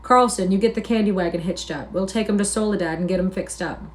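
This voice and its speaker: A woman voicing a gruff, authoritative ranch man with blunt, coarse rustic speech, in an audiobook reading. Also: medium pitch, fast talker, natural voice, low-quality recording. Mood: firm. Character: gruff, authoritative, plain-spoken male ranch hand.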